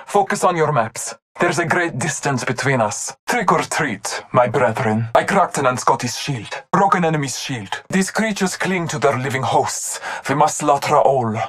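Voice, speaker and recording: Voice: medium pitch, expressive, normal speed, hardly any pauses, a bit bright, natural voice. An actor playing a devout, honor-bound masked hunter warrior in a video game, speaking in formal, solemn callouts. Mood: solemn, focused.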